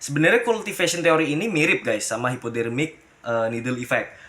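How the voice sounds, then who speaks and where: A man's voice: a little high, fairly even intonation, fairly quick, a bit bright. A young man from Java, giving a casual class presentation, chatty, calling the viewers "guys" and mixing in English terms. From Pemalang, Central Java, Indonesia. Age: young adult. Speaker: university student presenter.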